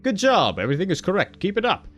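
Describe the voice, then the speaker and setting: A man's voice: high voice, wildly swooping pitch, fast talker, fairly bright tone, faint music behind. A man streaming a visual novel, reading its text aloud with wry, joking asides. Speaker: gaming streamer.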